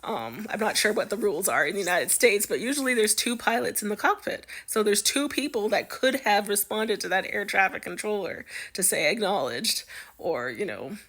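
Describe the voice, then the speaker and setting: A woman's voice: mid-range voice, lively intonation, moderate pace, fairly bright tone. A woman giving casual, chatty news commentary in an amused, conversational way, as if musing aloud.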